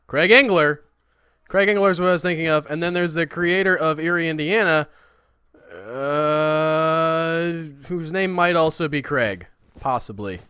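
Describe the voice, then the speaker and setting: A man chatting casually while he streams a building game, rambling and self-deprecating, with a high, squeaky voice. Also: unhurried, pushed and bright, clean tone, dry recording. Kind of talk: casual livestream chat.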